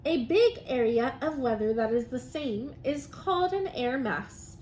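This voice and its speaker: A woman's voice: sits high, animated, unhurried, a little mellow. A woman teacher reading aloud and explaining science to young children in simple, encouraging phrases. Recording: noticeable background noise.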